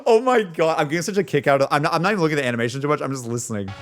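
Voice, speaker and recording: A man reacts live to video game footage with widely swinging pitch. He talks casually in slangy exclamations and often repeats himself, with game music clearly behind him. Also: animated, racing.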